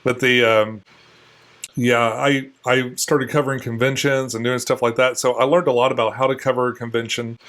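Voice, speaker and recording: A man in his forties, a guest on an interview show, answering in a relaxed, hesitant way with many fillers. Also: medium pitch, moderate pace. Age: 40s. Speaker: guest, longtime video maker. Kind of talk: casual interview chat.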